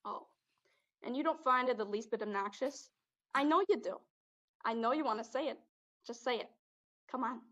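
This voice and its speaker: A woman's voice: fairly high pitch, moderate pace, long pauses, bright, forceful tone. Young woman acting jaded male ghost, streetwise drawl of slang, student stage play, muffled lo-fi sound. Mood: needling. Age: young adult. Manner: streetwise, drops grammar, calls listener kid. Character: jaded male spirit possessing a teenage girl's body.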